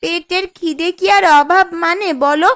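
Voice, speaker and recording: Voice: very high voice, fairly even intonation, normal speed, fairly bright tone. A performer playing a whiny, pleading, always hungry young village daughter in a children's folktale cartoon. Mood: whining, pleading. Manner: pleading, whining. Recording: music under the voice.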